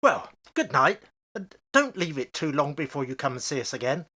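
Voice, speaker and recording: A man's voice: on the high side, sing-song, normal speed, sharp tone, breathy edge, soft background music, heavily acted. Man playing a genial father with swooping intonation. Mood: jovial. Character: genial, curious, stubborn father of a household. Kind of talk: radio play acting.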